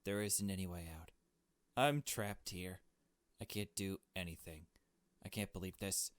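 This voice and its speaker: An earnest young captive from a visual novel, acted out by a man, frightened and trapped. The voice sits fairly low and is recorded dry and close. The delivery is halting and pleading, read as dramatic game dialogue. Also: dry recording. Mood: despairing.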